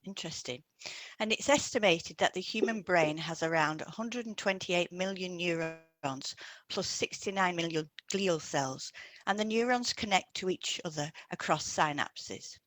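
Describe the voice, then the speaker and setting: A woman co-hosting an educational podcast, reading scripted explanations in a measured way. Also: lowish voice, fairly bright tone. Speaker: podcast co-host, hypnotherapist.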